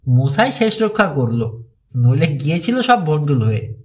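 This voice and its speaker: Male narrator for mystery audiobook, higher-pitched voice with animated, swinging intonation. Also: unhurried. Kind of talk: scripted narration of a mystery thriller story.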